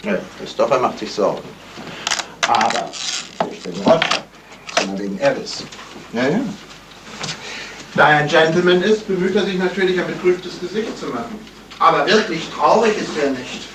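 Man acting a suspicious amateur detective, thoughtful, animated intonation, vintage radio play, audible hiss. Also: on the high side, normal speed, pauses often, close to an everyday voice. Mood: insistent. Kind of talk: 1970s German radio crime drama acting. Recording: hissy old radio play recording.

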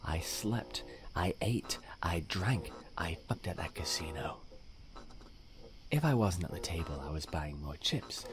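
An actor playing a deranged, nihilistic serial killer in an audio drama, brooding and menacing in a breathy voice that often drops to a whisper. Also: male voice, sits low, animated, over music, poor audio. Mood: confessional. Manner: slow, brooding monologue in clipped phrases. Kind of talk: audio drama villain monologue.

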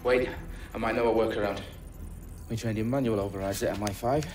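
A man's voice: on the low side, steady tone, a little fast, darkish tone, faint music behind, natural voice. A man playing a gritty, cocky secret agent in a video game, with a British accent and a blunt, sweary manner. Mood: focused. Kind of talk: video game cutscene acting.

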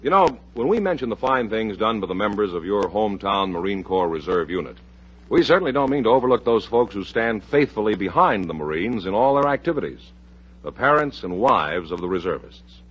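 A man's voice: lowish voice, a bit bright, breathy edge. A man with an American accent announcing in an earnest, formal, scripted old-time radio style. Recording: muffled vintage radio recording.

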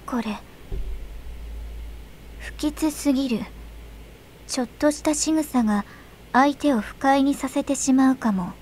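A woman voicing a cool, aloof young noble girl in a visual novel, speaking quietly and with reserve. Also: sits high, moderate pace, pauses often, natural voice. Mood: gloomy. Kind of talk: Japanese visual novel voice acting. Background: game music.

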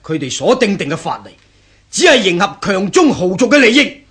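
An actor playing a defiant, bitter bandit chief in a radio drama, with a husky voice and a Hong Kong Cantonese accent. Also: male voice, sits high, very animated, a little fast, fairly bright tone, heavily acted. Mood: indignant scorn. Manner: indignant, scoffing, rhetorical.

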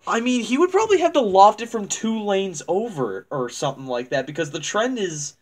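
A man's voice: sits high, big pitch swings, fairly quick. A young man hosting a casual chat in a small room, rambling and restarting his sentences, laughing often, picked up by a webcam across the room. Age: young adult. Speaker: channel host. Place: small dim room indoors.

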